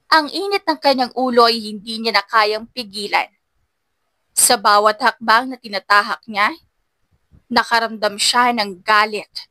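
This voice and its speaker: A woman's voice: on the high side, sharp tone, no echo. A woman giving dramatic scripted narration as an audiobook storyteller.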